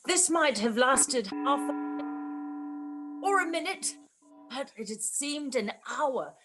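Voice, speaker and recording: A woman's voice: sits high, animated, slow, over music. A woman narrator giving a scripted radio-play reading of a story.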